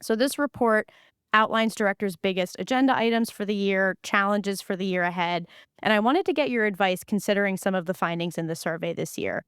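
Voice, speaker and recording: A woman's voice: mid-range voice, brisk, a bit bright, clean tone, no room sound, clean studio sound. Upbeat woman podcast host. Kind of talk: podcast interview.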